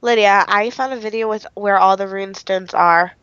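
A woman's voice: medium pitch, normal speed, a bit bright, smooth. A woman chatting casually as a friend playing along, picked up in a dull, off-mic recording. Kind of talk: casual chat. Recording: dull, roomy, off-mic pickup.

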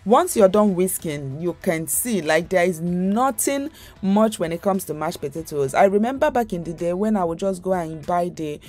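A woman's voice: lowish voice, animated, moderate pace, a little mellow. A young woman hosting a home cooking channel, talking viewers through a recipe in a chatty, rambling way with lots of fillers and restarts. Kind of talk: casual recipe voice-over.

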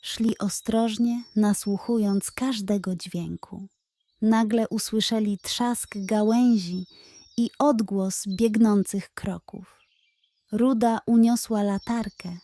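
Female bedtime storyteller, gentle, measured, warm and mellow. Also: mid-range voice, fairly even intonation.

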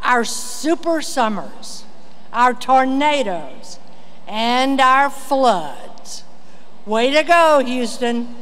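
Guest speaker, female, seventies, high voice, dry humor, reverberant auditorium with some noise. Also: lively intonation, very slow, fairly bright tone. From Texas. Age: 70s. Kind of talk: prepared humorous commencement speech. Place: large auditorium stage at a commencement. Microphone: podium microphone. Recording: reverberant hall with background noise.

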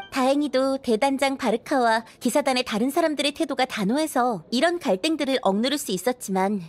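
A woman's voice: a little high, close to an everyday voice. An actress playing a kind, earnest young restaurant worker in a video game, polite and sympathetic as she explains.

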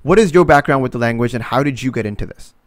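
A young man in his twenties hosting a podcast, talking in a halting way with many restarts, into a studio mic at home. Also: medium pitch, expressive, no echo. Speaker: podcast host. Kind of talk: casual podcast conversation. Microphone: studio mic with headphones.

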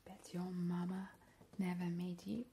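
A woman playing a playful, ghoulish vampire in a whispered ASMR roleplay, breathy and slow, with soothing relish. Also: lowish voice, flat delivery. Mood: hushed, ghoulish. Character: playful, ghoulish immortal female vampire baking gruesome treats. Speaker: ASMR host in character. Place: home kitchen, indoors. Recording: noticeable background hiss, soft clicks.

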